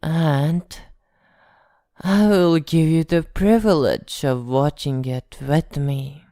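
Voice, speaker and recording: A woman playing an arrogant, possessive vampire captor in an ASMR roleplay, haughty, teasing and softly threatening. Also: deep voice, fairly even intonation, slow, no room sound, studio quality. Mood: possessive, gloating.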